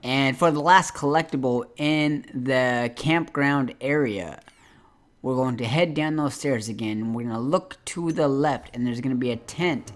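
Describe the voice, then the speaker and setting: A man's voice: medium pitch, unhurried. A man hosting a game guide, giving directive, step-by-step walkthrough commentary.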